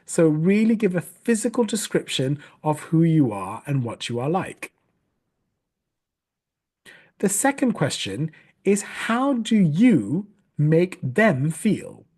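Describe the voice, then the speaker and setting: Husky-voiced man coaching storytelling. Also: on the high side, big pitch swings, slow pace, a little mellow. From Sri Lanka. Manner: patient and explanatory, with frequent restarts. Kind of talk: online teaching talk.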